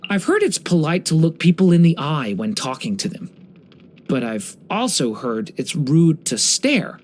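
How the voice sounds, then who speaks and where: An expressive, gentle young dragon boy. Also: a little high, measured pace. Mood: gentle, earnest. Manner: polite, earnest, storytelling. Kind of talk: English video game character voice lines.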